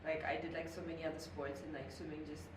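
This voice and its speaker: A woman's voice: low-pitched, flat delivery, quick pace. A young woman from Zambia, a guest on a podcast, chatting casually, saying "like" constantly and giggling at herself. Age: young adult. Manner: chatty, says like constantly, giggly and self-mocking. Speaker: guest, professional swimmer. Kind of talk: casual interview chat. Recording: echoey room, mic at a distance, clear background noise.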